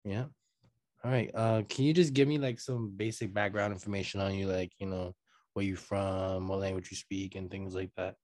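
A man interviewing over a video call for an oral history project, asking loose, halting questions with fillers. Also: sits low, fairly even intonation, a little mellow, no echo.

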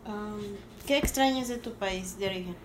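A woman's voice: mid-range voice, normal speed. A woman interviewing someone for an oral history at her home, asking questions plainly and politely. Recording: clear background noise, clicks.

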